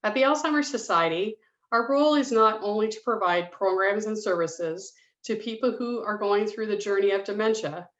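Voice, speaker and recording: Woman, businesslike scripted host, echoey room, muffled call audio. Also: mid-range voice. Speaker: public education coordinator and webinar host. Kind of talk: scripted webinar introduction. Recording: dull roomy video-call audio.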